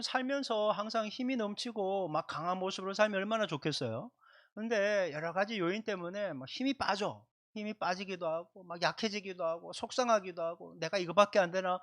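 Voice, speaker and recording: A man preaching as a pastor at a Sunday service, speaking emphatically and directly to his congregation. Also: high-pitched. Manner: emphatic, repeats points and addresses the congregation directly. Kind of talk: Sunday sermon with prayer. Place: church service, livestreamed.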